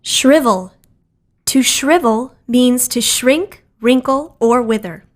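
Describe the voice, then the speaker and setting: A woman's voice: a little high, slow, dry recording, studio quality. A woman reading words, example sentences and definitions in a scripted educational style. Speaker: vocabulary lesson narrator.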